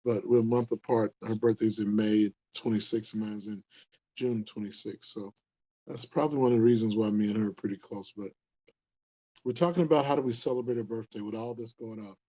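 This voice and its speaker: A man's voice: fairly low pitch, brisk, dark tone, poor audio. A man in his sixties from the Upper Midwest, a community outreach leader speaking casually to camera, earnest and repetitive for emphasis. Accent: Upper Midwest American. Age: 60s. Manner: earnest, rambling, repeats himself for emphasis.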